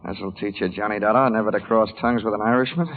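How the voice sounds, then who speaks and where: An actor playing a wisecracking, hard-boiled American investigator with a dry, clipped, flippant manner in 1940s radio detective style. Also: male voice, fairly low pitch, fairly even intonation, fast, lightly acted. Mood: wry. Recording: muffled 1940s radio recording, long reverb, faint music.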